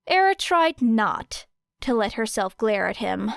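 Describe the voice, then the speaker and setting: A woman's voice: a little high, sing-song, measured pace, vocal fry, clear, resonant tone, no room sound, clean studio sound. A woman narrating a scripted story as an audiobook reader.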